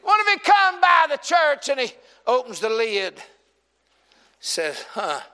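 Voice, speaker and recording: Bright-voiced preacher in a hall. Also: male voice, extremely high pitch, big pitch swings, unhurried. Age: 40s. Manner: humorous, teasing the congregation, builds to shouting. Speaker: pastor. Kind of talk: sermon. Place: church sanctuary.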